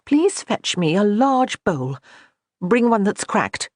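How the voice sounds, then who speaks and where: A woman's voice: medium pitch, big pitch swings, unhurried, darkish tone, husky, no echo, studio quality, voice pushed up. A reader voicing a proud, blunt young noblewoman who speaks firmly and plainly. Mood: determined. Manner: firm and forthright.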